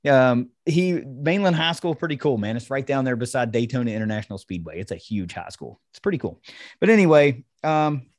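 A man's voice: mid-range voice, animated, fairly quick, no echo, studio quality. Man, mid-range voice, sports podcast host, enthusiastic football chat, conversational, very little room sound. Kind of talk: casual football analysis chat.

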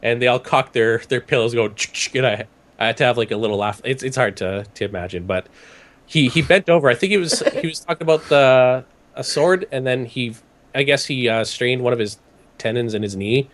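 Hoarse young man with a cold. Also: mid-range voice, fairly quick. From Canada. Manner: rambling, with many restarts and animated asides. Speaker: podcast guest recounting a trip. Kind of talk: casual chat.

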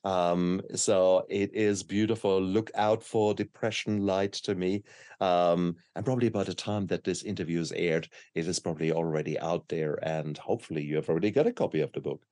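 Low-pitched, encouraging interview host. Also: steady tone, normal speed, warm tone, dry recording, clean studio sound. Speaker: interview host, book co-author.

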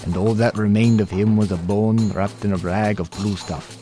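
An actor playing a prince who recounts his adventures in formal, archaic, expressive storytelling for an audio drama. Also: lowish voice, moderate pace, lightly acted. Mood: earnest storytelling. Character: young prince recounting his perilous sea voyage. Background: music.

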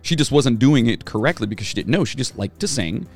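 A man's voice: medium pitch, animated, fast, darkish tone, soft background music. A man co-hosting a casual podcast chat, a former band singer who rambles with plenty of fillers and restarts. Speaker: podcast co-host.

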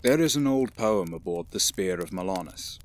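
A man playing a stern, cautious alien warrior in a science fiction audio drama, formal and deliberate in his speech. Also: low voice, lively intonation. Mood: cautious, measured.

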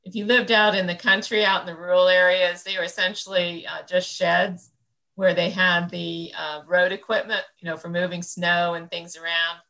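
A woman in her sixties talks in a loose, reflective way and fills her pauses often. Her voice sits fairly low, with a gravelly edge and a bright, forceful tone. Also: flat delivery, rough recording. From central Illinois, United States. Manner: rambling and thoughtful, with many fillers. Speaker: interview guest. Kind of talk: reflective conversational interview.

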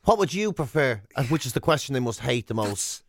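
A man's voice: mid-range voice, big pitch swings, a little fast, no echo, studio quality. A man with an Irish accent co-hosting a radio show, bantering casually with blunt, sweary, self-mocking humour.

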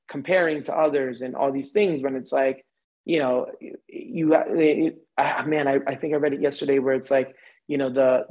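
A man's voice: medium pitch, darkish tone, rough recording. An American man in his forties, a guest in a casual podcast conversation, rambling with many fillers and restarts. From United States. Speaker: interview guest.

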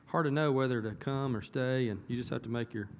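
Hoarse pastor, folksy. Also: male voice, mid-range voice, fairly quick, slight background noise. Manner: folksy, conversational, rising to emphatic. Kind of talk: casual church announcements and sermon. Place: church sanctuary.

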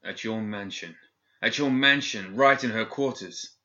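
A man voicing a desperate, betrayed male captain locked in a cell, shouting and pleading with rage. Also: lowish voice, steady tone, normal speed, muffled recording, close to an everyday voice.

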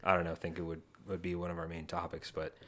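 A man guesting on a casual podcast, with a low voice, talking haltingly with many restarts. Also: completely flat delivery, a bit bright. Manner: halting, restarts often, self-conscious. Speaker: guest co-host.

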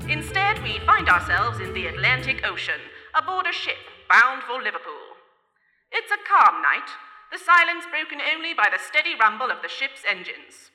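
A woman's voice: sits high, big pitch swings, moderate pace. A woman giving scripted narration for a radio play. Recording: echoey hall, music behind.

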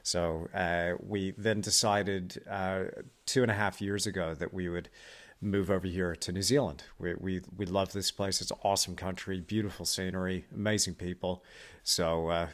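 A man's voice: low-pitched, steady tone, normal speed. A man from Texas hosting a podcast interview, chatting casually and encouragingly with frequent short affirmations. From Texas, United States. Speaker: podcast host.

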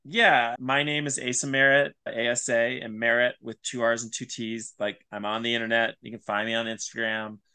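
A man's voice: mid-range voice, little pitch movement, moderate pace, few pauses, bright, forceful tone, clean tone. A man speaking as a guest creator in a casual, conversational podcast interview. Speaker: podcast guest, writer and audio series creator.

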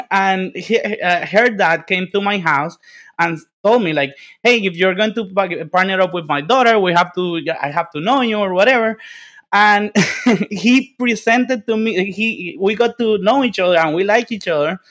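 A man with a Dominican Spanish accent speaks in a high, eager voice. He tells his story at full energy, repeating words and restarting his sentences. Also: unhurried, fairly bright tone. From Dominican Republic. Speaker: guest, business development consultant. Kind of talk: casual interview storytelling.

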